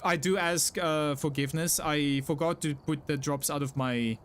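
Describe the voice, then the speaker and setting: A man's voice: on the high side, steady tone, moderate pace, a bit bright. A young man from South Africa with an Afrikaans English accent, chatting casually with his live-stream audience and repeating himself with lots of "like" fillers. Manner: chatty, apologetic, frequent self-repeats and "like" fillers. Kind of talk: casual live-stream chat reading chat messages.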